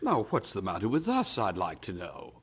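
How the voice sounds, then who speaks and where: A man's voice: deep voice, wildly swooping pitch, normal speed, slightly rough, exaggerated voice. An actor playing a genial, talkative, worldly man in an audio drama, with a chatty, stammering manner. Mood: miffed. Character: genial, talkative, blustering man, George's worldly host Tom.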